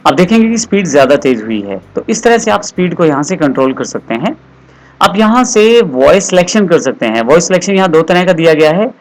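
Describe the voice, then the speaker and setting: Guy doing a computer tutorial, kinda high voice, explains step by step. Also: poor audio. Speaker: software tutorial instructor. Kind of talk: step-by-step screen tutorial narration.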